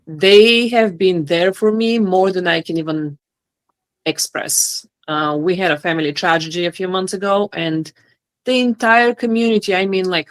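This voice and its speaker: A woman with a Bulgarian accent, speaking in a lowish register on a remote call. She talks earnestly and hesitantly, with plenty of ums and pauses as she picks her words. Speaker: guest, knife handle maker. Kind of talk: casual interview chat.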